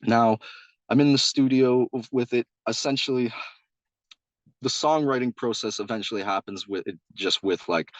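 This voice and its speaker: A young man, a singer-songwriter guest, talking loosely in a casual interview, with a loud voice and lots of restarts and "like.". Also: on the low side, no room sound, muffled recording. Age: young adult. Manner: rambling, many restarts and "like".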